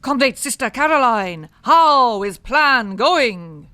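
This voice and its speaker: A woman's voice: on the high side, animated, takes it slowly, pushed and bright, full character voice. A woman playing a scheming Soviet spy disguised as a nun, in a put-on Russian accent, giving orders in a comic radio play. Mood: rousing. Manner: conspiratorial, commanding.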